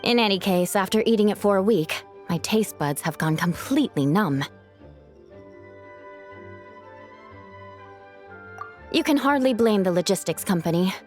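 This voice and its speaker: A woman acts out a young female knight captain from a video game, composed and proud. She speaks in measured, formal phrases at a medium pitch, with a dry, wry edge. Music plays behind her voice. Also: animated, natural voice. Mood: mildly rueful. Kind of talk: video game character dialogue. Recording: studio voice over game music.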